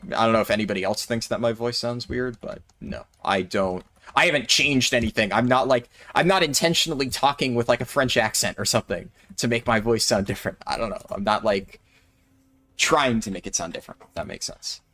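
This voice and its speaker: A man of average pitch talks casually over his gameplay on a livestream. He thinks aloud, wanders between points and answers chat questions as they come in. His voice carries a slightly tired edge. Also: a little fast, sharp tone. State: maybe tired or sore throat. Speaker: streamer. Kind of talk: casual livestream commentary while gaming.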